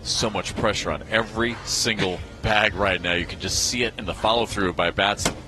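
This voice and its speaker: A man giving live televised play-by-play commentary on a championship match, in an excitable manner that builds with the action. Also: on the low side, normal speed, heavy background noise.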